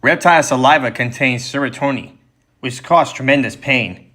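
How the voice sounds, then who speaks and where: Man reading as an assured biologist, medium pitch. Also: normal speed, bright, forceful tone. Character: confident American field biologist, knowledgeable and precise.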